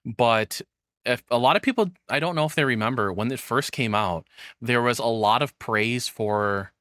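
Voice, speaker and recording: A man's voice: medium pitch, normal speed, a bit bright, no echo, clean studio sound. A man giving casual, opinionated reaction commentary as a streamer, rambling bluntly and swearing freely.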